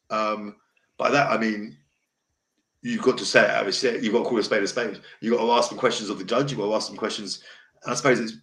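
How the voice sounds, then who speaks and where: A middle-aged man with a deep voice hosts a casual livestream talk, blunt, emotional and rambling. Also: a bit bright. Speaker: host. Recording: echoey room.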